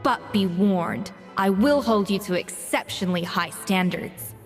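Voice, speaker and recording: A woman playing a self-assured, exacting young head chef in a video game, speaking in a crisp, composed manner. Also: medium pitch, unhurried, natural voice. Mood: composed, stern. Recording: reverberant, with music behind.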